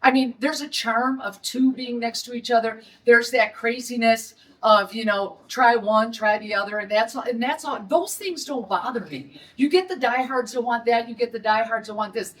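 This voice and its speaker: A woman's voice: fairly high pitch, moderate pace, hardly any pauses, a bit bright, recorded from a distance, poor audio. A middle-aged woman from Detroit with a Detroit accent, a restaurant owner holding a blunt, indignant press conference.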